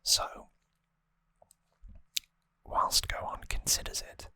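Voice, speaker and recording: An ASMR host whispering a casual, relaxed, rambling trivia recap very close to the microphone. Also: very slow.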